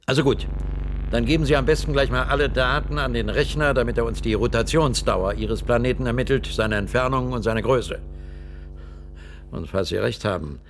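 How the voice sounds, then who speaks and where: An actor playing a jovial, fatherly middle-aged space mission leader in a German radio play, calm and lightly ironic. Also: medium pitch, moderate pace, slight background noise, lightly acted. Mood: jovial, encouraging.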